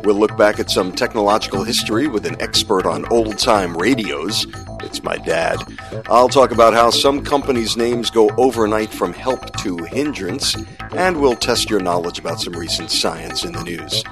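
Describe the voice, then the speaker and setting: A man hosting a podcast and interviewing in a clear, conversational way. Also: lowish voice, lively intonation, normal speed, over music. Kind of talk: scripted intro and conversational interview.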